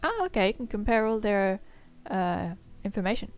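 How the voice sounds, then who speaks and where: A woman's voice: mid-range voice, big pitch swings, moderate pace, faint music behind. A woman in casual let's-play commentary, reading tutorial text aloud and reacting, slightly nasal from a cold. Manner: chatty, upbeat, muses aloud with fillers. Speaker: gameplay streamer.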